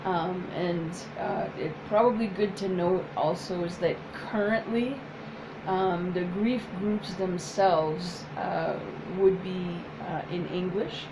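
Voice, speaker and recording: A woman whose first language is French, speaking as a psychotherapist in a soft, hesitant casual chat with many pauses. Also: lowish voice, steady tone, noisy background. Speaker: psychotherapist, co-host.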